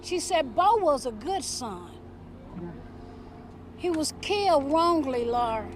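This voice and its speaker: High-voiced woman speaking very slowly. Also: sing-song, slight background noise. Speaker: relative interviewed for news. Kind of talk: storytelling interview recollection.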